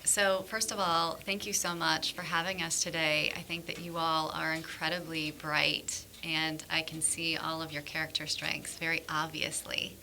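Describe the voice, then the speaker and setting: A woman's voice: low voice, little pitch movement, moderate pace, hardly any pauses, bright, forceful tone, slight background noise, rough recording. A woman, a former school principal, giving thoughtful, articulate answers as a guest in a podcast interview.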